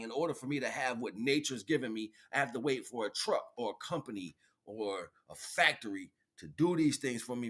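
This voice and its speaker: A man's voice: medium pitch, a bit bright. A man in his forties hosting an informal livestream monologue, talking in a rambling, slangy way, repeating phrases and checking in with the listener. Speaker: livestream host.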